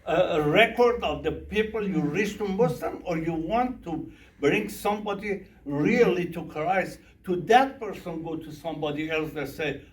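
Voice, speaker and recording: A man's voice: on the high side, normal speed. A middle-aged man from Iran with a Persian accent, a minister speaking broken English to a group and putting questions to them. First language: Farsi. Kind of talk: workshop talk with audience questions. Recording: echoey room from a distance, faint noise.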